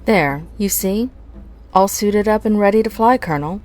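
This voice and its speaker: A woman voicing a sardonic female starfighter pilot in a fan audio drama, teasing and deadpan. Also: medium pitch, moderate pace, soft background music, natural voice.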